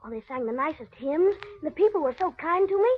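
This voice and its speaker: Very high, piping, eager child. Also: female voice, animated, fast. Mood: happy, sweet. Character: excitable, sweet small child of a poor family. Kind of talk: 1930s radio acting. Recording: dull 1930s radio recording, chattering background.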